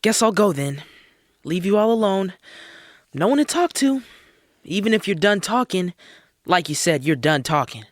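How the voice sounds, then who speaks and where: An actress playing an awkward, overeager listener, halting and stammering, in a comedy sketch. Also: female voice, fairly low pitch, sing-song, dry recording, studio quality. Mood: needy, halting. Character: awkward, overeager listener hanging on racy tales.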